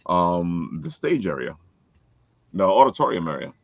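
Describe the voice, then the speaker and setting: A man's voice: low voice, very animated, a little slow, no echo, muffled recording. A man hosting a casual promotional chat, talking in a folksy way and repeating phrases for emphasis. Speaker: show host.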